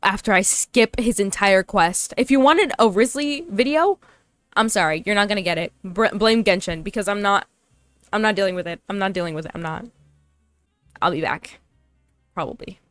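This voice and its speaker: A young woman giving casual, blunt, joking commentary as she plays a video game, in a clear, smooth voice. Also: mid-range voice, moderate pace, a bit bright, dry recording, soft background music. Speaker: gaming YouTuber.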